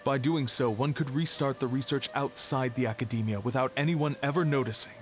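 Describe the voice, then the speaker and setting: Man as male research archivist, mid-range voice, matter-of-fact, measured, video game dialogue, soft background music. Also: mid-range voice, lightly acted. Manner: measured, explanatory.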